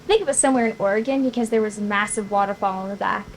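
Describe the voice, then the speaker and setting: A woman's voice: mid-range voice, very fast, close to an everyday voice. A woman reading the part of a wistful, teasing young woman at a screenplay table read. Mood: wistful, confiding. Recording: noticeable background noise.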